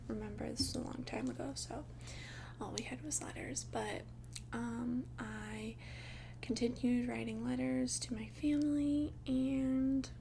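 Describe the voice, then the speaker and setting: A woman playing a centuries-old vampire who looks like a young adult, confiding casually in a breathy, hesitant ASMR whisper. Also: on the high side, noisy background. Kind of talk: soft-spoken whispered ASMR roleplay.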